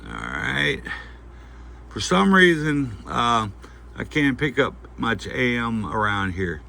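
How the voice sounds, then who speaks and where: Man with a very bright, creaky voice. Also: medium pitch, sing-song. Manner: halting, folksy, thinking aloud while handling the item. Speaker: host of a gear review channel. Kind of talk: casual unscripted product demonstration. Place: indoors at home at night. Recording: faint background noise.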